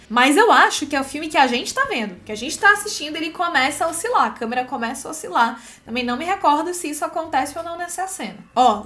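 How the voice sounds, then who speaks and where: High-voiced Brazilian woman teaching screenwriting. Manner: expressive and chatty, frequent check-in tags. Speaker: screenwriting teacher and video host. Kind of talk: casual explanatory commentary with script read-aloud.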